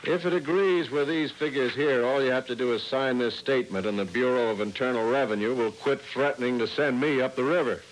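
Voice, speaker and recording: An actor playing a friendly, persuasive insurance manager in a mid-century radio drama. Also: male voice, medium pitch, fairly quick, hardly any pauses, lightly acted. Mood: businesslike. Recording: slightly noisy old radio recording.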